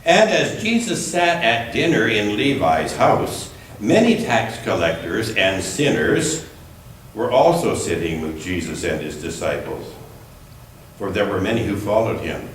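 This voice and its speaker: A very echoey recording, picked up at some distance from the mic, carries a man reading scripture in church. His delivery is slow and deliberate, with careful phrasing suited to reading aloud. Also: on the low side, sing-song. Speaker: scripture reader at a church service. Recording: echoey room, distant mic, faint noise.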